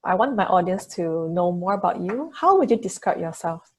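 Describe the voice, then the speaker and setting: A woman's voice: fairly low pitch, sing-song, moderate pace, a little mellow. A woman hosting a podcast, presenting and interviewing in a conversational way. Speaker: podcast host. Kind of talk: scripted intro and conversational interview.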